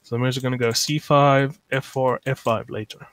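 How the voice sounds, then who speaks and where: A man's voice: mid-range voice, fairly even intonation, normal speed, smooth, no room sound. A man from South Africa giving a live online chess lecture, thinking aloud with many fillers and reading out chat messages.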